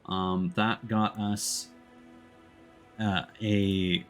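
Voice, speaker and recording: A man giving casual, explanatory let's-play commentary as a game streamer, talking in a halting way. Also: on the low side, little pitch movement. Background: background music.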